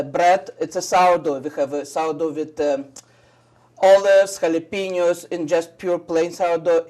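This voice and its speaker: A woman from Russia with a Russian accent, a shop owner chatting eagerly about her products in halting English with many fillers. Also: on the low side, a little mellow. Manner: enthusiastic, halting non-native English with fillers. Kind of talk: casual chat.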